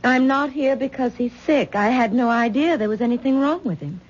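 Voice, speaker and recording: An actress playing a weary young wife who speaks in a subdued, hesitant way, in a 1970s radio drama. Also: female voice, a little high, lively intonation, a little fast. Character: weary young wife, Tessa, leaving husband. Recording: dull old radio broadcast recording.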